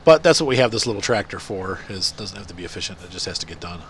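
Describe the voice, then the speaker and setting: A man's voice: deep voice, animated, quick pace, very noisy. Stuffy-nosed man rambling over gameplay. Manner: rambling, chatty, many fillers. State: congested, stuffy nose. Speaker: gaming channel host. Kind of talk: casual gameplay commentary.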